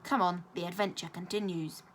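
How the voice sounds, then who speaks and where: A woman reading the part of a kind, patient mother in a children's story, warm, courteous and reassuring. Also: fairly low pitch, fairly even intonation, fairly quick, a little hiss or hum, natural voice. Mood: polite, upbeat. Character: kind, brightly polite mother, patient and determined.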